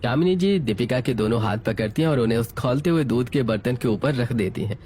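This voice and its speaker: A man's voice: mid-range voice, fairly quick, darkish tone, a little hiss or hum. A man reading scripted narration for a family moral story, setting out scenes as the narrator.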